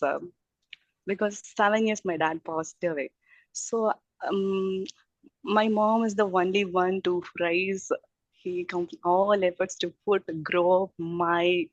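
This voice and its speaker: A middle-aged woman with an Indian accent introduces herself informally, rambling and effusive in halting English. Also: on the low side, no echo. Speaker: childcare teacher introducing herself. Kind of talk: informal self-introduction at a group meeting. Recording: dull, muffled audio.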